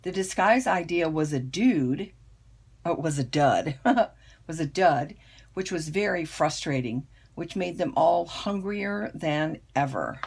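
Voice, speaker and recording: An older woman, a grandmother, reading a children's picture book aloud with expressive, storytelling emphasis. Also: low-pitched, gravelly, slightly rough. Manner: unhurried, dramatic storytelling emphasis.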